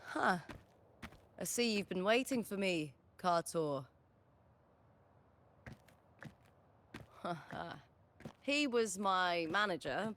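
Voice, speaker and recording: Low-voiced, confident gladiator heroine. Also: female voice, fairly bright tone, a little hiss or hum. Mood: wry. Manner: self-assured, brisk, commanding. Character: proud, confident young female gladiator star, bold and blunt. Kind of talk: video game voice acting.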